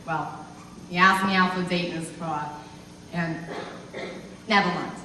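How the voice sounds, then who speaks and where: A woman's voice: on the low side, fairly even intonation, bright, forceful tone. Flustered old lady in a big echoing hall, acted by a woman. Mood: fond, nostalgic. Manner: flustered, chatty, halting, exclamatory. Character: embarrassed, reluctant old lady reminiscing about her youth. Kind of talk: theatrical stage monologue. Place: live stage in a large hall. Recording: echoey hall from far off, faint noise.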